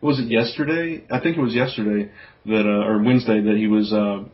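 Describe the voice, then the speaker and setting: A man's voice: on the low side, fairly even intonation, brisk. Male podcast host, loose and casual. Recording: slightly dull lo-fi audio.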